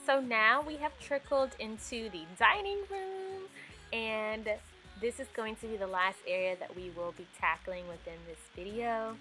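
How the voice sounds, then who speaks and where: A woman's voice: fairly high pitch, animated, measured pace, poor audio. A young woman narrating her home decorating vlog in a casual, upbeat voice-over, full of ums and likes. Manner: chatty, upbeat, with fillers like um and like. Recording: faint music underneath.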